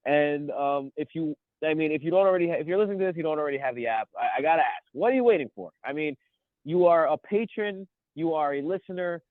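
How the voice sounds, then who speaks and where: A man in his thirties with a cold, chatting as a podcast co-host and rambling excitedly through his stories. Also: fairly high pitch, quick pace, no room sound. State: sniffly from a cold. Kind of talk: casual chat. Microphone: phone. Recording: tinny phone line.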